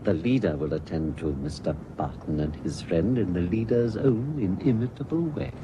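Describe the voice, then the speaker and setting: A man's voice: moderate pace, lightly acted. An actor playing a sinister, formal, commanding professor and villain's henchman in a vintage radio serial. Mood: sinister, satisfied. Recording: noisy old radio recording with rumble.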